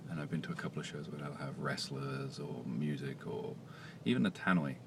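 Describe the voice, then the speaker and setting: Soft-spoken male comic writer, very deep and hesitant, noisy recording. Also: fairly quick, vocal fry. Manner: quiet, soft-spoken, hesitant with many fillers. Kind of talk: casual vlog monologue.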